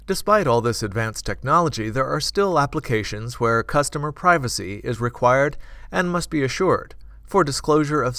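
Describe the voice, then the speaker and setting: A man's voice: medium pitch, fairly bright tone, dry recording. Dry-recorded man narrating a product. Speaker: corporate narrator. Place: dry studio voice-over recording.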